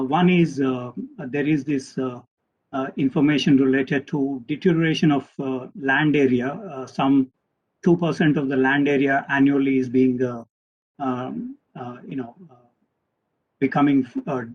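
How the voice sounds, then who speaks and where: A man's voice: mid-range voice, steady tone, unhurried. A man moderating a panel discussion, polite and hesitant, with heavy use of fillers between words. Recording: dull lo-fi call audio.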